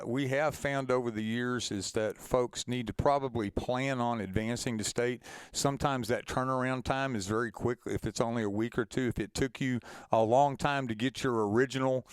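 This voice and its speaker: A man's voice: medium pitch, clean tone, no echo, studio quality. A man co-hosting an informational webinar, explaining procedures in a conversational way with repeated and restarted phrases.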